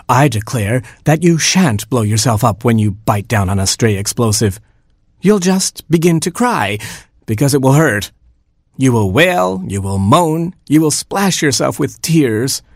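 A kindly beetle bishop, put on by a man, declaring in a raised, husky voice. Solemn and earnest, a storybook cleric. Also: mid-range voice, expressive, measured pace, no echo, clean studio sound, pitched above the performer's own voice.

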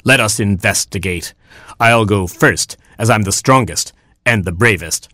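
A man's voice: fairly low pitch, measured pace, bright, forceful tone, no room sound, clean studio sound. A man voicing a proud, grouchy father rooster in a storybook, with pompous delivery. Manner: pompous, declamatory. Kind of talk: storybook character voice.

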